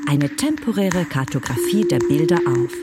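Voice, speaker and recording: A woman's voice: low-pitched, expressive, normal speed. A woman reading a scripted, essay-like narration. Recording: loud music under the voice. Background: loud music.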